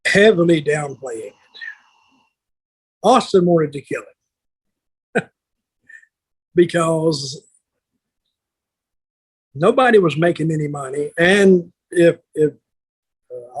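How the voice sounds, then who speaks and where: An older man with a husky voice telling stories casually on a podcast, blunt and plainspoken, halting and repeating words. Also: fairly high pitch. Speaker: podcast co-host, wrestling veteran.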